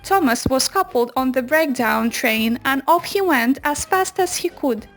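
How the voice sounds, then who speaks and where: A woman reads a children's storybook aloud. Her voice is high and she takes her time over the scripted narration, with light music underneath. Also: lively intonation, unhurried, fairly bright tone. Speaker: children's book reader.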